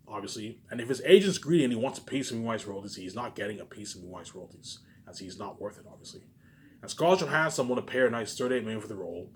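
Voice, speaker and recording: A man speaks very quickly in a fairly low voice, as if vlogging into a phone. He is emphatic and boastful, circling back over his own phrases and answering his own questions. There is slight background noise in the recording. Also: fairly bright tone. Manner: emphatic, boastful, repetitive, with self-answered questions and trailing-off tag words. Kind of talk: rambling solo monologue to camera.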